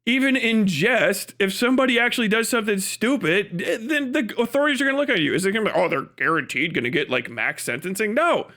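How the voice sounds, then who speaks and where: Male streamer, high register, very lively intonation. Blunt, exasperated, unscripted ranting commentary. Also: quick pace, few pauses. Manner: exasperated, incredulous, often laughing mid-sentence; sweary, blunt. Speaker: live streamer.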